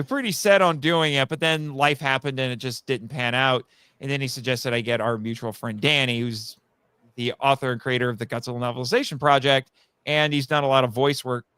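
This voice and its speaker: Clear-voiced man in rambling podcast chat. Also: medium pitch, bright, forceful tone, dry recording. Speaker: guest, audio drama writer. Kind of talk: casual live podcast chat.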